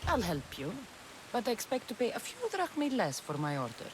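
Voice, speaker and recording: An actress playing a weary but devoted warrior mother in a video game, wry and earnest in a measured way. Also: fairly high pitch, huge pitch swings, noisy background. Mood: tired, dry. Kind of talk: dramatic video game acting.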